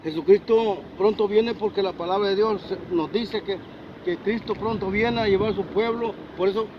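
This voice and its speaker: A man's voice: high voice, steady tone, moderate pace. A middle-aged man preaching fervently, answering questions outdoors in a parking lot, recorded on a handheld phone. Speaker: street preacher. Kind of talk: street interview answers. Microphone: phone camera held by interviewer. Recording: dull phone audio with traffic noise. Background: traffic noise.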